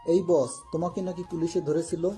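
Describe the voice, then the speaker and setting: A very dark, flat male voice put on by an actor plays a wary street-thief sidekick. He sounds deferential but sceptical, speaking colloquially in an audio drama with faint music behind. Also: on the high side, brisk, lightly acted. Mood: wary, gossiping. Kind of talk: dramatised audio story acting.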